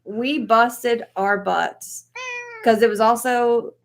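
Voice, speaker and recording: A woman's voice: fairly high pitch, steady tone, slow pace, creaky. A woman in her thirties co-hosting a casual live question-and-answer chat, speaking in a blunt, informal way. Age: 30s. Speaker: co-host of a trade channel.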